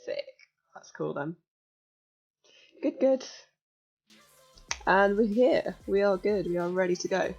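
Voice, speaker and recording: A young woman in her twenties, slightly croaky, chatting casually and giggling as she walks viewers through a hacking exercise on a live stream. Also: medium pitch, expressive, slow, long pauses, a little mellow, dull audio. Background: faint music.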